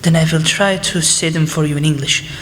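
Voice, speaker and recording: A teenage woman student playing a gentle, earnest male professor in a radio play, speaking politely and hesitantly. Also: sits low, fairly even intonation, a little fast, slight background noise. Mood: gentle, thoughtful. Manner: hesitant, polite, formal phrasing. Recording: echoey hall.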